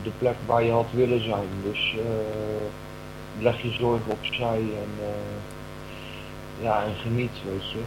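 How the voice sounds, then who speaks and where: Over a clearly humming, noisy recording comes a fairly low-voiced actor as an earnest believer, a man in an audio play. He rambles hesitantly, pausing and repeating himself as he reassures and explains. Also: little pitch movement. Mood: reassuring. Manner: hesitant, rambling, many pauses and repetitions. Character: earnest believer in friendly aliens, reassuring companion. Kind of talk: improvised-sounding Dutch audio drama monologue. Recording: noisy, with loud mains hum.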